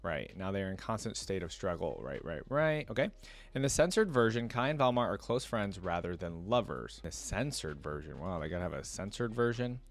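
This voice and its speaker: A man's voice: deep voice, big pitch swings, brisk. A young man hosting a reaction video, reading passages aloud and breaking off into casual, filler-heavy asides. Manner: reads text aloud, then breaks off with chatty asides and filler.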